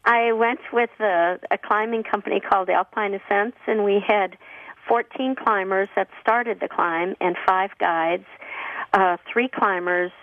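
A woman guest on a call-in radio show, her voice husky from a cough, answering in an earnest, plain-spoken way with frequent restarts. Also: mid-range voice, unhurried, no room sound. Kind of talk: relaxed conversational interview answers. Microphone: phone line. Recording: tinny distant phone line.

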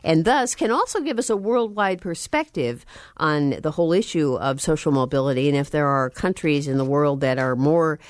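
A woman with a notably low voice hosts a public radio interview in a dry studio sound. She asks friendly, loosely strung questions and laughs easily along with her guest. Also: moderate pace, dry recording. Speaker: radio host.

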